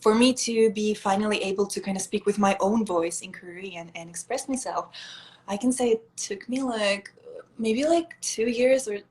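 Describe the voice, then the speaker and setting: A woman's voice: medium pitch, normal speed, a little mellow, low-quality recording. A young woman from Russia, chatting casually as a guest on a live stream and leaning on "like" a lot. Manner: chatty, leans on "like" a lot, laughs often. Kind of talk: casual chat.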